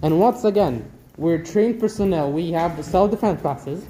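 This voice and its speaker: A man's voice: on the high side, measured pace, mellow, slight background noise, rough recording. A young man filming as he walks through a large abandoned factory, chatting and explaining what he sees. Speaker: vlogger filming. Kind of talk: casual chat. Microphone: handheld camera while walking.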